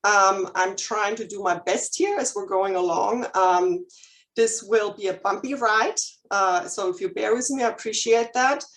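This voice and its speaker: A woman in her forties with a German accent speaks to a room of listeners, candid and a little nervous. She fills pauses with ums and restarts her sentences. Her pitch sits in a middle range for a woman. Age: 40s. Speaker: licensed counselor. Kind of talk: chamber of commerce presentation.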